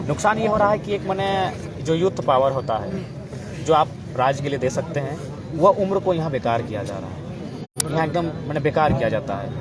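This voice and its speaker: A young man with a Jharkhandi accent, an activist giving emphatic, explanatory interview answers in an oratorical way, repeating phrases for weight. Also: fairly high pitch. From Jharkhand, India. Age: young adult. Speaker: political activist being interviewed. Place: outdoors at a public gathering. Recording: noisy outdoor crowd and traffic.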